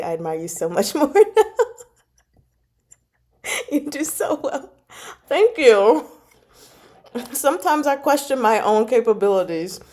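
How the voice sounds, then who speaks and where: A woman chatting casually and informally to her livestream viewers, giggly and animated as she talks. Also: medium pitch. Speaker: host of a book club channel and amateur audiobook narrator. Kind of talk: casual livestream chat, reading out and answering comments.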